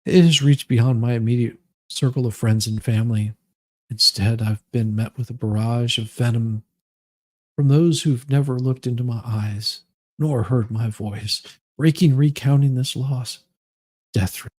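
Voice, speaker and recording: A man voicing a self-pitying, melodramatic woman in a slow, overwrought, mock-solemn dramatic reading of a grievance essay. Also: medium pitch, darkish tone, lightly acted. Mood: wounded, grave.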